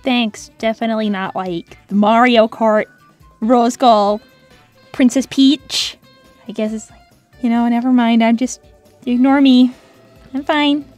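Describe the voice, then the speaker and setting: Girl doing a high pitched cartoon hedgehog girl, peppy but easily miffed, sarcastic, music behind. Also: steady tone, unhurried, pauses often, a little mellow, no echo, lightly acted. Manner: sarcastic, exasperated, girlish. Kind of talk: cartoon character answering fan questions.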